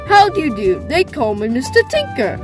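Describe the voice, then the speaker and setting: A woman's voice: high-pitched, big pitch swings, moderate pace, music in the background. A woman voicing a friendly, forgetful male inventor in an amateur cartoon comic dub, polite and puzzled. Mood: cheerful, puzzled.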